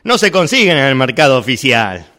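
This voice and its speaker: A man's voice: medium pitch, big pitch swings, a little fast, sharp tone. A man playing a weary shopkeeper who sells worlds, speaking in an amateur radio drama rehearsal. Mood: matter-of-fact. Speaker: workshop radio drama actor.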